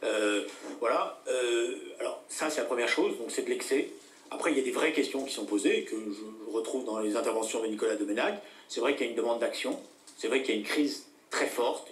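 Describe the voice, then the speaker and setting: A middle-aged man answers questions as a political guest. He speaks deliberately and pauses often on fillers. His voice sounds roomy and set back from the mic. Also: medium pitch, recorded from a distance, slight background noise. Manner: deliberate, many hesitations and fillers. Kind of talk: talk show debate.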